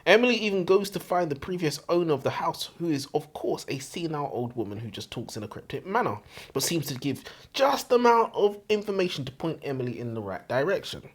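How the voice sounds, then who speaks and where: A man's voice: on the high side, big pitch swings, a little fast, breathy edge. A man co-hosting a casual book review chat, speaking in a blunt, exasperated, sweary way. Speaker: co-host of a book review show.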